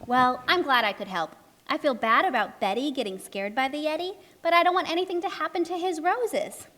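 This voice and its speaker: High-voiced, fretful woman playing a lady narrator. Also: brisk. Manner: expressive, fretful, emphatic. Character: chatty, anxious, meddling lady storybook narrator. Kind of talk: children's puppet show storytelling.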